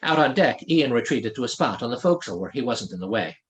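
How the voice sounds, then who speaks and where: A man's voice: mid-range voice, lively intonation, fast talker, slight background noise. A man reading his own fantasy novel aloud as scripted audiobook narration.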